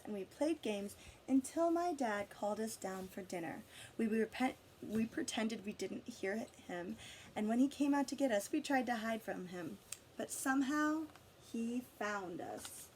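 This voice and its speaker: Higher-voiced woman reading aloud, noisy recording. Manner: pauses to question and guide young listeners. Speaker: read-aloud storyteller for children. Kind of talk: expressive picture-book read-aloud.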